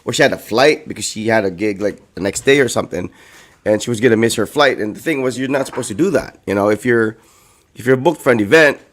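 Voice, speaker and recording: Hoarse man, rambling chat. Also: fairly low pitch, fast talker. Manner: rambling, heavy on "like" and "you know". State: hoarse, recovering from a lost voice. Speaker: co-host. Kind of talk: casual chat.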